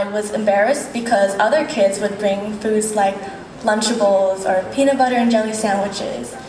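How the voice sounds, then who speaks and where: A woman's voice: on the high side, a little mellow. Young woman giving a pageant speech. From United States. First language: Vietnamese. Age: young adult. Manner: earnest, measured delivery. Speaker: pageant contestant. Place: large echoey hall. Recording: echoey hall from far off, faint hiss.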